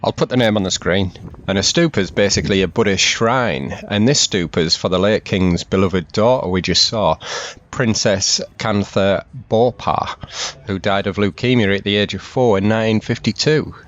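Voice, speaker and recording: A man's voice: on the low side, normal speed, muffled recording. Man narrating a travel vlog. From England. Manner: steady, informative tour-guide delivery. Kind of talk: scripted voice-over narration.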